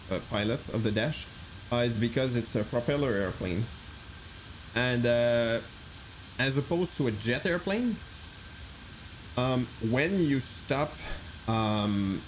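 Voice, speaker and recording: A man's voice: medium pitch, slight background noise, low-quality recording. A man streaming a flight simulator, chatting casually, thinking aloud and answering chat with many fillers.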